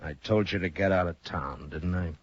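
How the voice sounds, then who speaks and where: An actor playing a steady frontier marshal who speaks in calm, clipped, low-key tones in a 1950s radio drama. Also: male voice, normal speed, breathy edge. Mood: stern. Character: steady, stern frontier marshal, grown man. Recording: dull old radio broadcast with hiss.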